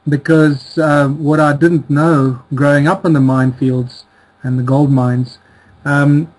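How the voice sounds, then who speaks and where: A man's voice: a little high, a little mellow, rough recording. A middle-aged man with a South African accent, talking as an interview guest over a remote call in a hesitant, conversational way. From born in Czechoslovakia, grew up in South Africa. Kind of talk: conversational life story telling.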